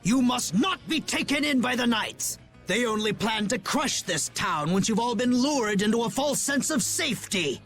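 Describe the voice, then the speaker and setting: A scheming, self-important older villain from a video game. His voice is pitched high and swings wildly as he declaims with indignation. Faint music plays underneath. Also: animated, moderate pace, a bit bright. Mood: rabble-rousing. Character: scheming pompous older male villain, a councilman.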